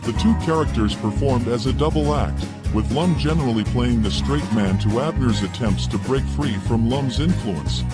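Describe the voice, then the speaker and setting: A man's voice: mid-range voice, lively intonation, moderate pace, few pauses. A man hosting a radio program, reading scripted introductions in an announcer's narration style. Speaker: program host. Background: loud music.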